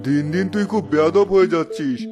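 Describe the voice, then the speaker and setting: A man's voice: on the high side, quick pace, over music, close to an everyday voice. A man playing a stern, bossy middle-aged village uncle in a cartoon. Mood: scolding. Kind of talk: Bengali cartoon voice acting.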